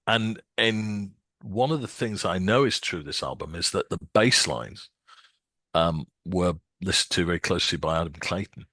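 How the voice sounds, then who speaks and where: A man with a low, deep voice chats casually about music as a podcast co-host. He speaks hesitantly, restarting and filling with ums, recorded dry and close with no room sound. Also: normal speed, bright, forceful tone, dry recording.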